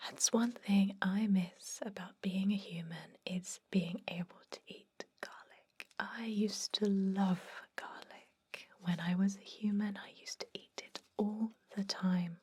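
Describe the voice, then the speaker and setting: A woman playing a chatty but gently stern vampire dentist in a whispered ASMR roleplay, rambling in a confiding way with a breathy voice. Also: medium pitch, fairly even intonation, takes it slowly. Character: chatty long-lived female vampire dentist, garlic-obsessed, gently stern. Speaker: ASMR performer.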